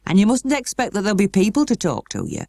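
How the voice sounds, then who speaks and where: A woman's voice: mid-range voice, huge pitch swings, fast talker, vocal fry, warm tone, no room sound, pitched above the performer's own voice, exaggerated voice. Lady reading as a stern no-nonsense housekeeper woman, storybook style, scolding and bossy, very dry recording. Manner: scolding, matter-of-fact, instructing. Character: brisk, bossy middle-aged housekeeper woman, blunt and stern.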